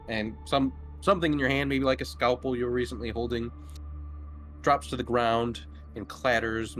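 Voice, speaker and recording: A man running a tabletop horror game, narrating casually and haltingly as he feels out each description. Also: mid-range voice, fairly bright tone. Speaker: game master.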